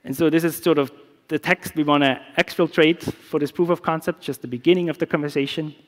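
A middle-aged man whose first language is German, giving a conference talk with a live demo in a relaxed, explanatory way with many restarts. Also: a little high, a little fast. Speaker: security researcher. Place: large conference stage. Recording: long reverb of a big hall.

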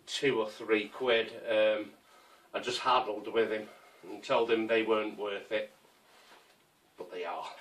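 A man in his fifties talks slowly through his camping kit, pausing often with ums and restarts. He sits a few feet from the camera in a small conservatory, so his voice sounds a little distant. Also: mid-range voice, a bit bright. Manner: unhurried, rambling, with frequent ums and restarts. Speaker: survival gear vlogger. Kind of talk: casual show-and-tell. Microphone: camera mic from a few feet away.

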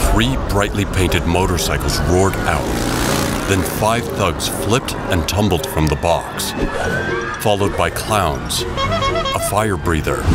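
A man narrating a scripted storybook audio drama in a measured, dramatic way. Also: low-pitched. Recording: music under the voice. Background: prominent background music.

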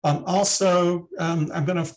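An older man, a retired biology professor, giving an online talk over a video call, halting with many ums and restarts. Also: sits high, steady tone.

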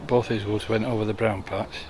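A man's voice: lowish voice, steady tone, normal speed, background noise. A man giving casual commentary on a bowls match, explaining each shot in a chatty way, recorded outdoors on a camera mic. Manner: chatty, explaining the play as it happens. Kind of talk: casual amateur sports commentary. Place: outdoors at a bowling green.

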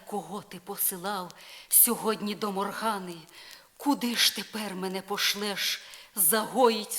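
An actress playing a proud, jealous, sorrowful spurned wife in a solemn verse drama, shifting from breathy hush to declamation. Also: female voice, mid-range voice, lightly acted. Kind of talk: dramatic poetry reading, slow and solemn. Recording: vinyl record rip.

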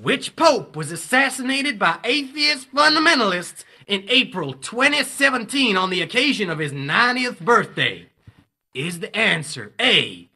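An actor playing an excitable, showy male game show host in an audio drama. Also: very high-pitched, creaky, slightly rough, exaggerated voice. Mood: suspenseful. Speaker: quiz host.

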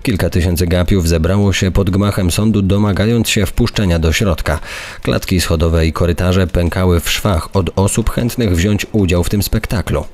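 Low-voiced male true-crime narrator. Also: moderate pace, darkish tone.

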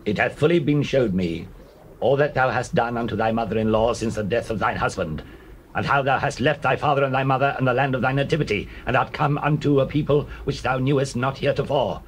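An actor playing Boaz, a kindly, dignified older landowner, in measured, solemn classical delivery of dramatized scripture. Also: male voice, medium pitch, lightly acted. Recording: noisy old cassette tape.

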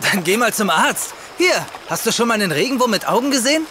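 A man's voice: high-pitched, very animated, quick pace. A man playing a reckless, boastful institute employee in an audio drama, talking in brash, dismissive slang. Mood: cocky. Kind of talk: German audio drama acting. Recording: clear outdoor ambience noise behind.

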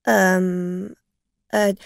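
A woman's voice: on the low side, flat delivery, extremely slow, vocal fry, dry recording, studio quality, exaggerated voice. A woman voicing a tipsy, frightened woman driver in an audio story, hesitant, stammering and pleading. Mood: afraid, pleading. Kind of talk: acted dialogue in an audio story.

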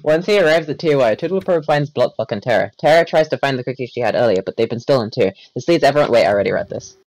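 A man's voice: mid-range voice, lively intonation, very fast, darkish tone, no room sound, rough recording. A man reading a wiki page aloud in a group voice chat, stumbling and correcting himself as he goes. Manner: reads aloud with stumbles, self-corrections and laughs.

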